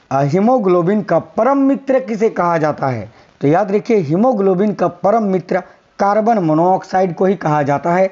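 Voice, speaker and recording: A hoarse man teaching a quiz-style science lesson for exam prep, repeating each answer and telling viewers to remember it. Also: high voice, darkish tone, muffled recording. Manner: repeats answers, coaxing "याद रखिएगा".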